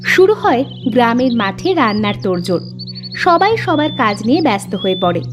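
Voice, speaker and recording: A woman's voice: a little high, sing-song, normal speed, over music. A woman narrating a scripted cartoon story as its storyteller.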